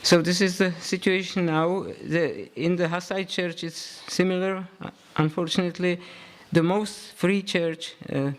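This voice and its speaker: Halting man giving a talk. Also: high voice. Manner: halting, repeats words while searching for them. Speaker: professor, panel speaker. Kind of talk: conference talk.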